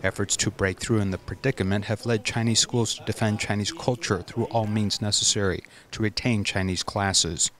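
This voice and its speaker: Low-voiced male news narrator. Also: steady tone, moderate pace, hardly any pauses, a bit bright. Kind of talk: scripted news voice-over.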